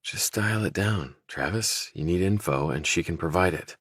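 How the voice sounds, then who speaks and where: A man's voice: low voice, moderate pace, a bit bright, husky, no room sound, studio quality. A man voicing a tough, guarded young ex-army fighter with a terse, blunt manner in an audiobook. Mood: controlled, calculating.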